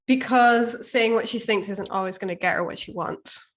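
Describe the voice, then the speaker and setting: A woman's voice: on the high side, normal speed. A woman speaking as a podcast guest being coached, giving brief, informal conversational replies.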